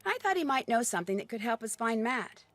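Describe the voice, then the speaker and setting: A woman playing a curious, persistent young female detective in a video game, speaking clearly and inquisitively. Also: fairly high pitch, sing-song, fast talker, a bit bright, no echo. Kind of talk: scripted game dialogue.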